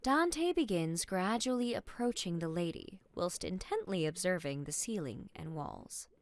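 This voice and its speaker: A woman's voice: lowish voice, expressive, a little slow. A woman giving scripted narration for a fantasy story.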